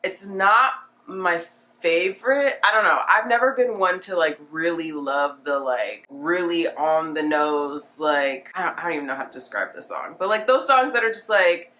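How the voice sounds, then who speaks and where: Low-pitched, slightly nasal chatty woman. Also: moderate pace, bright, forceful tone. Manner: chatty, rambling, filler-heavy with frequent "like". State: getting over a cold. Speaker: music reaction vlogger. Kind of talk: casual unscripted reaction commentary.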